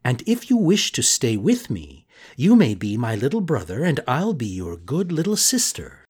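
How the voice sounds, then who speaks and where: A man voicing a kind, motherly fairy woman in a storybook reading, soft and soothing. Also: mid-range voice, wildly swooping pitch, normal speed, breathy edge. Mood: tender.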